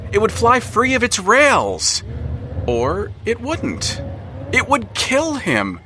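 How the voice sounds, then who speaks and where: A man narrates an audio drama in a measured, wry storytelling voice that climbs into tension. His pitch moves widely across phrases. The recording carries a clear layer of ambient noise. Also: huge pitch swings, sharp tone.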